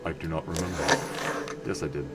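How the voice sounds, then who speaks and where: A man casually commenting on his game for viewers, rambling and correcting himself as he goes, with a loud voice. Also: very deep voice, fairly even intonation, a little fast, heavy background noise. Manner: rambling, self-correcting, chatty asides to viewers. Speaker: livestream game player.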